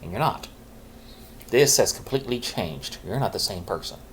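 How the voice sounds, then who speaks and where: A middle-aged man speaks in a fairly low voice, telling his own story in a candid, reflective way. He pauses, restarts and keeps his naturally loud voice reined in. A faint hiss sits under the recording. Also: lively intonation. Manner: says he is keeping his loud voice low on purpose. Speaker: vlogger sharing his mental health story.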